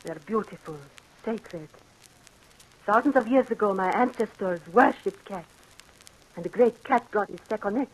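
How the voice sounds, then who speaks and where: An actress playing a vain, jealous, possessive Egyptian wife obsessed with cats, speaking imperiously and theatrically in an old radio thriller. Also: female voice, medium pitch, unhurried, pauses often, lightly acted, rough recording. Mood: doting, reverent.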